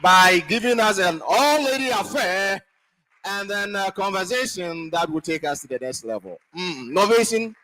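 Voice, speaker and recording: A man's voice: high-pitched, lively intonation, moderate pace, pushed and bright, low-quality recording. A man emceeing a conference, introducing speakers onto the stage in a rousing, declamatory way. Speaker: event emcee. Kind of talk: stage announcement. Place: conference hall.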